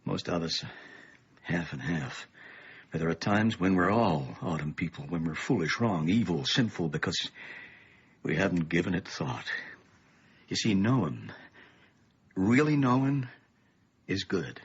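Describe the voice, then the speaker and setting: Grave middle-aged father, low husky voice. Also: lively intonation, heavily acted. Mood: grave, thoughtful. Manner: measured, ominous explaining. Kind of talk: radio play acting. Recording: dull, muffled lo-fi radio play.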